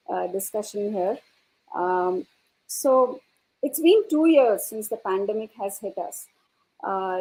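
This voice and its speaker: A woman's voice: mid-range voice, mellow, poor audio. A woman speaking formally as an educator on a discussion panel.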